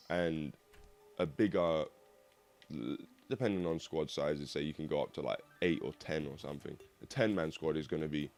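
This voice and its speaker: A young man with a slightly croaky voice, rambling casually and swearing as he hosts a gaming video. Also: rumbling low, darkish tone. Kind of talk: rambling casual monologue over gameplay.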